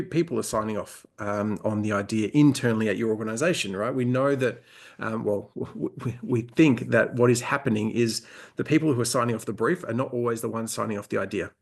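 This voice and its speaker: A man presenting survey findings in a webinar, conversational, often pausing with "um" and repeating words. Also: mid-range voice, gravelly.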